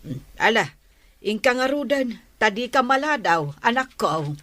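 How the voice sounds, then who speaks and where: A woman's voice: on the high side, big pitch swings, a little slow, a bit bright, no echo. A woman acting a caring middle-aged mother in an Ilocano radio drama, with an Ilocano accent.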